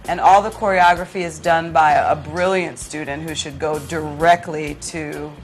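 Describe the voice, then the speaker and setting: Low-pitched woman teacher in interview. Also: steady tone, normal speed, soft background music. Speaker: drama teacher, interview guest. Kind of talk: conversational interview.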